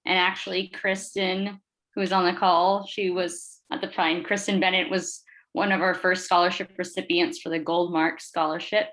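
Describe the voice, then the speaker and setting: A woman presenting at an online event, introducing scholarship recipients in a measured way with some fillers, on a video call. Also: lowish voice, little pitch movement, fairly bright tone, rough recording.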